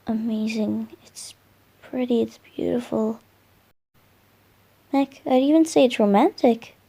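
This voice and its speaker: A gentle, boyish young spirit in love, played by a woman, with a high, mellow voice. He murmurs softly and hesitantly, in the intimate style of a romantic ASMR roleplay. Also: heavily acted. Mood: warm, romantic. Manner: soft, tender, breathy murmurs with hesitant pauses.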